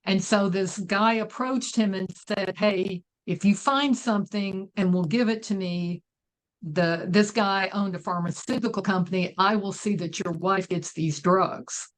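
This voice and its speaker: A woman's voice: mid-range voice, measured pace, low-quality recording. A woman speaking as a guest author, casually talking through a story in conversation.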